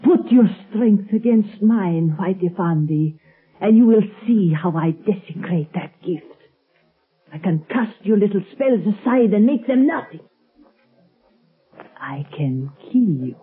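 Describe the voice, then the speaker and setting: An actress playing a proud, threatening sorceress in theatrical 1930s radio drama style. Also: female voice, mid-range voice, expressive. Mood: defiant, threatening. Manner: slow, imperious, theatrical. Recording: muffled old 1930s radio recording.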